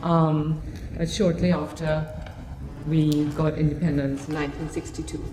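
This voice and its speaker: A woman's voice: sits low, little pitch movement, normal speed. A woman in her fifties with a Trinidadian accent, giving an informal, conversational artist talk about her own memories. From Trinidad and Tobago. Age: 50s. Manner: conversational, often checking in with "you know". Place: gallery talk in a room. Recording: echoey room, noticeable background noise.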